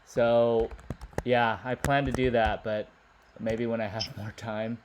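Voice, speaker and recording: A man's voice: medium pitch, level tone. Man, casual easygoing chatter, noisy line with clicks. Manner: chatty, laughs easily, quick to agree. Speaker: conversation partner. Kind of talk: casual chat.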